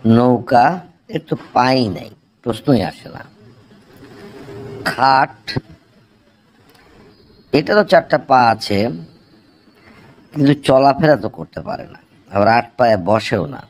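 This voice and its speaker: Man playing deferential cartoon quiz contestant, Bangladeshi accent, slow and musing, mid-pitched. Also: expressive, a little hiss or hum. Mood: puzzled musing. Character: polite, hesitant male quiz contestant puzzling aloud. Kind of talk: cartoon comedy dialogue.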